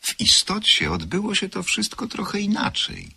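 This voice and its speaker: A man narrating a radio play in a measured, ironic storytelling manner. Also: high-pitched, wildly swooping pitch, creaky, bright, forceful tone, breathy edge. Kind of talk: scripted radio drama narration.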